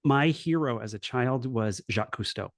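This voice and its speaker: A man's voice: medium pitch, moderate pace, no room sound. Earnest man telling his story, dry sound. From Los Angeles, USA. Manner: earnest, reflective, with frequent restarts and "you know". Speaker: guest, coach and former professor. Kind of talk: conversational interview storytelling.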